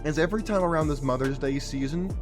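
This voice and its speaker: Hoarse man hosting a podcast over music. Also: fairly high pitch, quick pace. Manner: provocative, sarcastic, lecturing. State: hoarse, voice partly gone. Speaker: podcast host. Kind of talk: opinionated podcast monologue.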